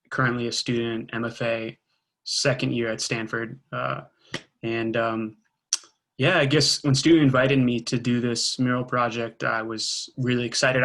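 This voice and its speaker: A man born in Israel and raised in Georgia, an artist introducing himself on a panel call in a relaxed, rambling way. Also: mid-range voice.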